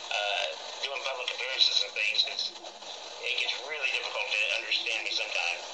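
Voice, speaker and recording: A man's voice: lowish voice, fairly quick. A man with a Texan accent, a guest in a casual interview, talking in an earnest, expansive way. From Texas. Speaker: guest, film channel owner. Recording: loud background noise.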